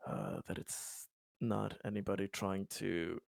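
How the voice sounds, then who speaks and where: A man improvising as a player in a tabletop roleplaying game, halting and hesitant, often stammering over repeated words. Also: deep voice, little pitch movement, gravelly, no echo, studio quality.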